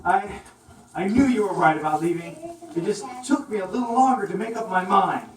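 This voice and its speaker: A gentle woodmouse traveler from a children's puppet show, voiced by a woman, earnest and a bit hesitant, with a lively rise and fall. The sound is distant and echoey. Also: mid-range voice, a little fast, close to an everyday voice. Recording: distant echoey room, faint noise.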